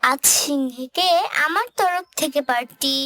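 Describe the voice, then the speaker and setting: An actress voicing a clever, calm mother goat in a children's cartoon, speaking in a measured way. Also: sits high, no room sound. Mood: sly, composed. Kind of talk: children's cartoon story dialogue.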